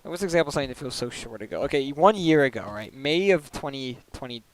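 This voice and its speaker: A young man streaming a video game, chatting casually and rambling with lots of "like" fillers and quick laughs, into a desk microphone at home. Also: medium pitch, animated, slight background noise, rough recording. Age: young adult. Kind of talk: casual chat while gaming.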